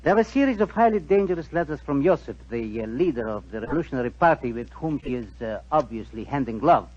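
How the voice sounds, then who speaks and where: Stern, husky Balkan official, old radio. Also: male voice, medium pitch, lively intonation, a little mellow. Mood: coldly formal. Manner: formal, deliberate, hesitating with small pauses. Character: stern Balkan official, ruthless, suave, coldly formal. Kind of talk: 1940s radio drama acting. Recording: dull 1940s radio recording.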